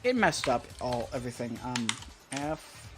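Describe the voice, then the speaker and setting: A man's voice: medium pitch, very animated, a little slow. A man co-hosting a livestream in casual chat, thinking aloud hesitantly into a close microphone. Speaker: live streamer co-hosting. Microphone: close XLR microphone on a stand. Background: music and keyboard typing.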